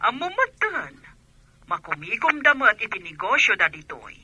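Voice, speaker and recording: A woman's voice: on the high side, big pitch swings, moderate pace, pushed and bright, husky, dry recording, full character voice. A woman playing a caring middle-aged mother abroad, heard over the telephone in a radio drama.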